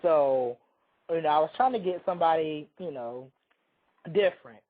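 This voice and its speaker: A hoarse man chats casually on a talk show, rambling through a story and often restarting his sentences. His voice sits a little high for a man. The sound comes through a thin, phone-like line. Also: normal speed. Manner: rambling, self-interrupting storyteller. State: hoarse from a cold. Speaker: radio show co-host.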